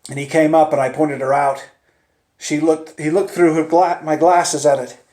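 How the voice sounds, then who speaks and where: A man telling a suspense story in the first person, theatrical and deliberate, with dramatic pauses and swings between hushed and urgent delivery. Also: on the high side, fairly even intonation. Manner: theatrical, swinging from hushed near-whispers to loud urgent peaks. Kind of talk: dramatic live oral storytelling of a suspense tale. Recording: roomy, echoing space.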